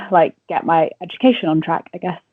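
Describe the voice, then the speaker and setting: A woman's voice: lowish voice, normal speed, husky, no room sound. A woman in her twenties from Wales with a southern English accent, chatting casually and giggly as a radio reporter being interviewed. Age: 20s. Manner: chatty and informal, giggles often, frequent "like". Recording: thin, narrow phone-like call audio.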